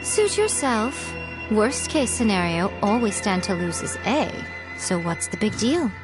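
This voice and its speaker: A woman's voice: mid-range voice, sing-song, unhurried, loud music behind the voice, rough recording. A woman voicing a sly, poised, teasing shrine maiden in a video game, playful yet coolly composed and commanding.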